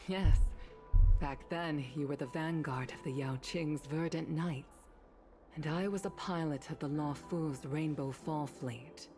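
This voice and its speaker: A woman's voice: deep voice, unhurried, darkish tone. A woman playing a mature, courteous female former pilot in a video game, with polite, formal phrasing. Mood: nostalgic, respectful. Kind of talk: dramatic game dialogue. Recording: game audio under clear music.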